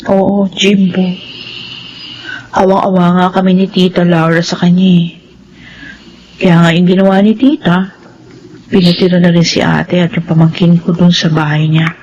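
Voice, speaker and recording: An actress playing a polite, soft-spoken young woman in a radio drama. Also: lowish voice, little pitch movement, moderate pace, long pauses, a little hiss or hum, lightly acted. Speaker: narrator and main character. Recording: dull lo-fi radio sound.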